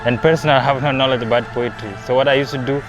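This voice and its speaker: A young man from South Sudan telling his story in a documentary interview. He is reflective and grows animated when he talks about performing. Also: mid-range voice, racing, lo-fi sound, over music. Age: young adult.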